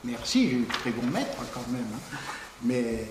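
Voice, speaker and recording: A man's voice: mid-range voice, sing-song, unhurried, background noise. Man in an echoey hall. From Picardy, France. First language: Picard. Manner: conversational and digressive, with frequent "hein" tags. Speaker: lecturer. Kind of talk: public lecture. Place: large lecture hall. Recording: echoey hall with long reverb.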